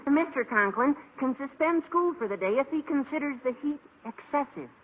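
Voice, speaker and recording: An American actor playing an eager, scheming teenage schoolboy, gawky and over-earnest, in an old radio sitcom. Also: male voice, very high-pitched, expressive, moderate pace. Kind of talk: 1940s-50s American radio sitcom acting. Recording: tinny old radio recording, faint hiss.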